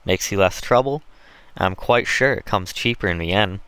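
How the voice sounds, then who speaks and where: A man's voice: fairly low pitch, moderate pace, fairly bright tone, clean tone, no echo, close to an everyday voice. A man voicing a coarse, boastful Southern slave owner in an audiobook, with blunt, folksy dialect.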